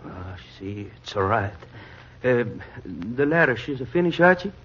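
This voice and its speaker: An actor playing a humble, warm older Italian immigrant father with an Italian accent and broken English in a 1940s radio drama. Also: medium pitch, sing-song, a little slow, breathy edge, heavily acted. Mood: gentle. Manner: broken English, simple and earnest. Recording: muffled 1940s radio broadcast recording.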